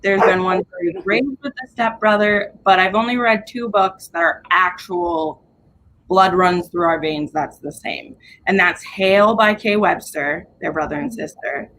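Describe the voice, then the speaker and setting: A woman's voice: on the low side, a little slow, fairly bright tone. A woman talking casually about books as a reviewer on a group livestream. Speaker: book reviewer in a livestream readalong. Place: at home on a group video call. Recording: noisy home livestream audio.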